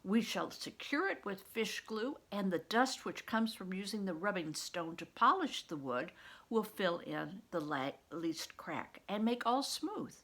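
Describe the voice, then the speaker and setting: A woman's voice: medium pitch, sing-song, a little slow, a bit bright, exaggerated voice. An older woman reading the part of a helpful monk carpenter in a storybook, patient and instructive, with archaic wording.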